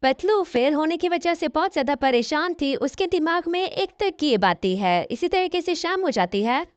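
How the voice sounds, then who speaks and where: A woman tells a scripted cartoon tale as its narrator. Her voice sits high. The sound is very dry and a little muffled and lo-fi. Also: animated, normal speed, no room sound. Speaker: story narrator. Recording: dull, lo-fi audio.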